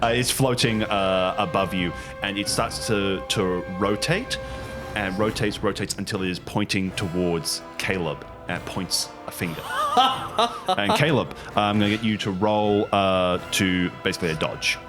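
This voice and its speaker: Low-pitched man running a tabletop game. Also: animated, unhurried. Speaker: game master. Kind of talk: improvised narration of a tabletop game. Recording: music behind the voice. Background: background music.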